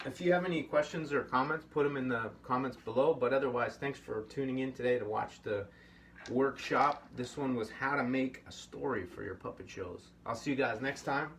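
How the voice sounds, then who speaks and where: A man's voice: medium pitch, moderate pace, a bit bright. Easygoing middle-aged man teaching puppetry. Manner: easygoing, thinks aloud with fillers. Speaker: puppetry workshop host. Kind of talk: casual instructional talk. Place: home workshop room. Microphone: camera mic at a distance. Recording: slightly distant, faint hiss.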